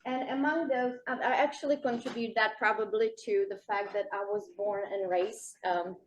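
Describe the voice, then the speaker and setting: A woman's voice: medium pitch, steady tone, moderate pace, slight background noise. Czech-accented woman giving a lecture. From Czech Republic. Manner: many fillers, warm self-deprecating asides. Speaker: nutrition professor. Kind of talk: keynote lecture. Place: lecture room. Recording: dull lo-fi sound.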